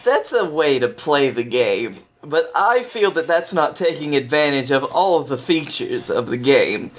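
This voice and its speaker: A man's voice: fairly high pitch, big pitch swings, measured pace. A man as a podcast guest, chatting casually and explaining video games earnestly, thinking aloud as he goes.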